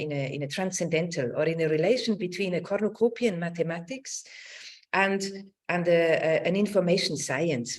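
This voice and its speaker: A middle-aged woman giving an academic lecture over a video call, with a halting, searching delivery that circles back over words. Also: deep voice. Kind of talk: academic lecture with slides and read quotations. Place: indoors on a video call with screen sharing.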